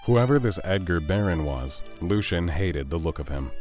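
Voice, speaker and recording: A man's voice: deep voice, expressive, normal speed, darkish tone. A man narrating a scripted audio drama as its storyteller. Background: music.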